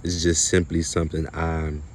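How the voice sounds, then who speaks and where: A man delivering an unscripted spiritual monologue to viewers, halting and emphatic, often repeating his phrases. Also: rumbling low, flat delivery, slight background noise. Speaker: spiritual video creator.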